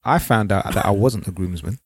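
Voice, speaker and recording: A man with a lowish voice takes part in casual podcast banter. He sounds laid-back and keeps defending himself against his friends' teasing. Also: fairly quick, husky, dry recording, studio quality. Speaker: podcast co-host.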